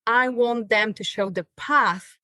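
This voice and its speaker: A woman's voice: on the high side, slow pace, pushed and bright, no echo. A woman from Poland with a Polish accent, a podcast guest telling her story earnestly with rising emphasis. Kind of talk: podcast interview.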